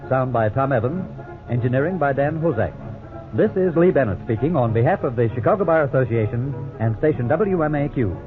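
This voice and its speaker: A man works as a radio announcer, reading scripted credits in a crisp, formal way. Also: mid-range voice. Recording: tinny old radio broadcast with music.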